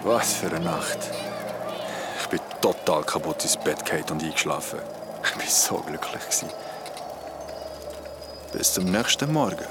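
A man's voice: low-pitched, lively intonation, normal speed, long pauses, very noisy. An actor playing a young man who narrates his own story in an audio drama. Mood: weary, happy. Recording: loud music behind the voice.

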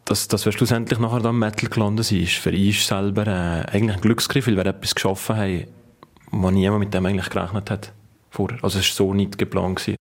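Male interviewee, Swiss German accent, rapid unscripted speech in a lowish register. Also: steady tone. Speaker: interviewee, band founder. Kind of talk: spontaneous interview talk.

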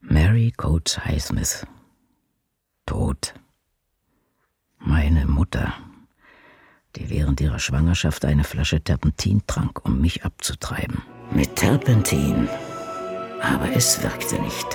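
A man's voice: very deep voice, lively intonation, slow pace, gravelly, pauses often, a little mellow, husky, faint music behind, heavily acted. A male actor playing an embittered, solitary seventy-year-old woman writer in a radio drama, reading out a diary in dry, clipped, muttered fragments. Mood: bitter, dark.

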